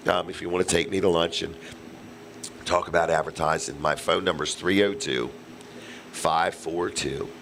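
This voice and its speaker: Raspy low-voiced male radio host. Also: fairly even intonation, normal speed, noisy background. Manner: easygoing, joking banter. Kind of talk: casual live radio chat. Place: restaurant set for live broadcast.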